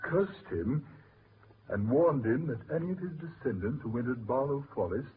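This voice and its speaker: Actor as formal gentleman, tinny old radio. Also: mid-range voice, expressive, moderate pace, lightly acted. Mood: grave, measured. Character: brisk, skeptical gentleman in a gloomy mystery. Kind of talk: 1940s radio drama acting. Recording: noisy, tinny 1940s radio recording.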